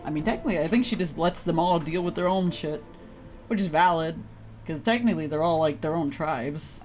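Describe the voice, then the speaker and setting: A woman's voice: low voice, brisk, darkish tone. A woman streamer giving blunt, sarcastic, casual commentary on a game. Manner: blunt, sarcastic, swearing, giggly. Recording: faint game music behind.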